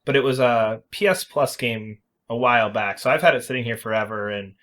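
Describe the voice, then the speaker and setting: A man's voice: medium pitch, fairly quick, a bit bright. A man hosting a casual podcast, explaining and reviewing video games in an informal, emphatic way. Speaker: podcast host.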